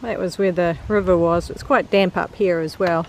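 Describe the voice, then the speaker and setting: A woman's voice: fairly low pitch, steady tone, normal speed, slight background noise. A woman with a mild New Zealand accent, chatting casually to her camera while walking a bush trail outdoors, laughing often. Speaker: hiker vlogger. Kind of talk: casual narration while hiking.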